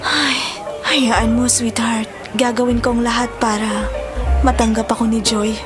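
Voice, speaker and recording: A woman playing a gentle, apologetic girlfriend longing to be accepted, in an earnest audio-drama style. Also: fairly high pitch, fairly even intonation, a little slow, over music, lightly acted. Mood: earnest worry.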